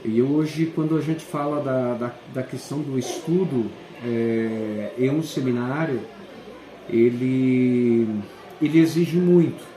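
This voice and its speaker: A man, a school principal answering interview questions and often pausing to search for words. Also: mid-range voice, background noise. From southern Brazil. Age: 40s. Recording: echoey room.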